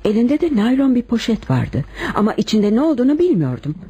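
A woman acting a composed, lovelorn wife confessing to murder in a Turkish radio play, with measured dramatic delivery. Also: fairly high pitch, big pitch swings, mellow, husky, heavily acted. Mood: grave recounting.

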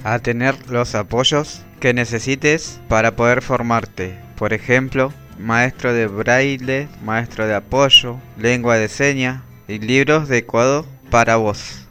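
A man reading scripted text slowly for an amateur radio programme, with music behind. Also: mid-range voice, fairly even intonation, sharp tone. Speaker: radio reader.

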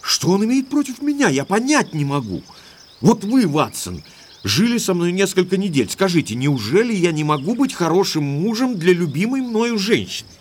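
An actor playing an indignant, lovestruck young English baronet in an audio drama, speaking emphatically like an exasperated gentleman. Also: high voice, very animated, normal speed, a little hiss or hum. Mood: exasperated, baffled.

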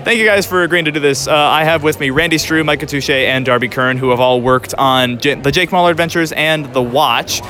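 A man's voice: a little high, a little fast, few pauses, sharp tone. A man doing casual, eager event interviews as a podcast host, chatty and agreeable. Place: busy public venue at a convention. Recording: busy convention with background music. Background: music and venue bustle.

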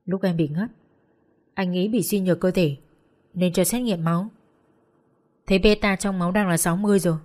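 A woman voicing a calm, firm young male doctor who explains things levelly. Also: on the low side, moderate pace, pauses often, natural voice.

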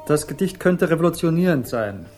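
An actor playing an eccentric young hippie poet in a radio drama, reciting in a declamatory, mock-solemn way. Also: male voice, on the high side, lively intonation, fairly quick, a little mellow, soft background music, lightly acted. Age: young adult.

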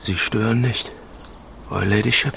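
A man's voice: fairly low pitch, steady tone, a little slow, creaky, fairly bright tone, a little hiss or hum, exaggerated voice. An actor playing a plain gamekeeper in a radio drama, clipped, guarded and deferential to his betters. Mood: guarded, courteous. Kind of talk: German radio play acting.

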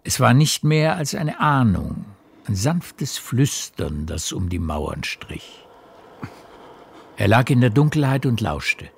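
A man narrating a radio play as a literary storyteller, with measured, deliberate phrasing. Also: medium pitch, big pitch swings, vocal fry, slight background noise.

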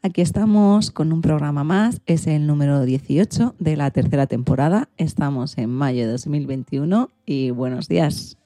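A woman's voice: sits low, moderate pace, hardly any pauses, mellow, dry recording. A woman hosting a radio show, presenting from a prepared script. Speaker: host.

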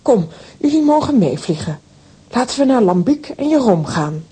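A woman acting an excitable, exclamatory female character in a children's audio drama. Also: normal speed, husky, full character voice. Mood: excited. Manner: theatrical, exclamatory. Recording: faint noise and low rumble.

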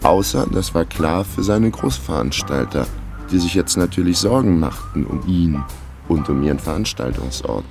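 A man's voice: sits low, lively intonation, normal speed, over music. An actor playing a laconic investigator who narrates his own case dryly in a radio crime drama. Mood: dry, matter-of-fact. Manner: dry, laconic. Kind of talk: scripted first-person radio drama narration.

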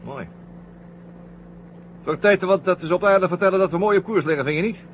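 A man's voice: high-pitched, a little fast, close to an everyday voice. An actor playing a practical, easygoing male astronaut crewmate in a science-fiction radio play. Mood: relaxed, practical. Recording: muffled radio drama, faint hum.

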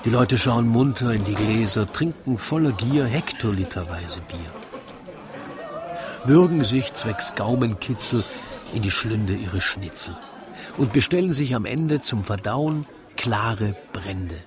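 Wry male verse narrator, crowd behind. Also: lowish voice. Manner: wry, theatrical, measured verse delivery. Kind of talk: rhymed comic scripted narration. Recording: lively crowd chatter behind. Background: crowd murmur.

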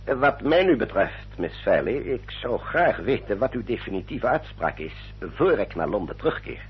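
An actor playing a courteous English family solicitor in a radio drama, speaking in a formal, measured, explanatory manner. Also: male voice, on the low side, big pitch swings, breathy edge, full character voice. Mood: politely pressing. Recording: dull, muffled old radio recording.

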